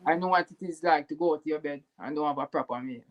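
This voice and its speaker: A man's voice: fairly high pitch, fast talker. A Jamaican man speaking in an earnest, heartfelt way as a guest in a casual chat, sometimes repeating words. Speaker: YouTuber guest.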